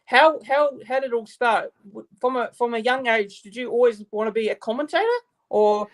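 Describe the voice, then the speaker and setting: A man's voice: very high voice, steady tone, fairly quick, poor audio. A man from New Zealand with a New Zealand accent, hosting a casual live-stream interview on a video call, talking with many fillers and restarts. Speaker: YouTube channel host.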